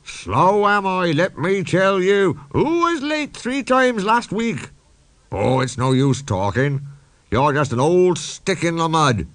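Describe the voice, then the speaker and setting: A man's voice: high voice, big pitch swings, slow, a bit bright, dry recording, voice pushed up, exaggerated voice. A man voicing a boastful little male steam engine in a storybook, haughty and bickering. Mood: haughty, indignant.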